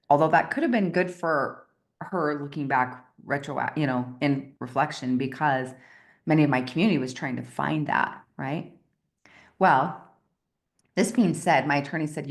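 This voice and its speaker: Woman success coach with a very deep, gravelly voice. Talks in a chatty, story-driven way and keeps asking her listeners "right?". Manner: chatty storytelling, often checks in with the audience with "right?". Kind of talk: motivational coaching talk told as a personal story.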